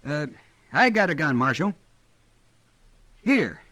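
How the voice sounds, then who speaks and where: An actor playing a nervous, submissive outlaw in an old-time radio western. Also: male voice, a little high, big pitch swings, slow. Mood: nervous, yielding. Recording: noisy old radio recording.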